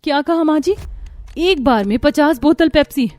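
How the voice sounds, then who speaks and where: High-pitched young new bride, acted by a woman, earnest and exasperated, cartoon family drama. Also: normal speed, a little mellow, dry recording, lightly acted. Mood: shocked. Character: young, exasperated, well-meaning new daughter-in-law.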